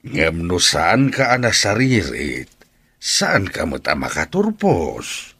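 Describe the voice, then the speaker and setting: Deep, husky, slow old grandfather. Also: wildly swooping pitch, bright, forceful tone, dry recording, full character voice. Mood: kindly. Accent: Filipino. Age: older. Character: kindly, approving old grandfather. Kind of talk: radio drama acting.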